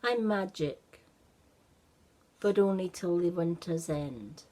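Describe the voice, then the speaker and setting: A gentle magic snowman voiced by a woman from a children's storybook. He talks very slowly and patiently, with a wistful, resigned air. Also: lowish voice, lively intonation. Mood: sad, resigned.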